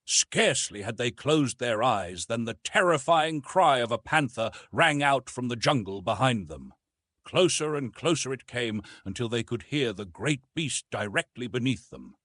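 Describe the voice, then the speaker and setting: A man narrating classic adventure fiction as an audiobook, with dramatic, deliberate phrasing. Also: medium pitch, animated, fairly bright tone, no echo, clean studio sound.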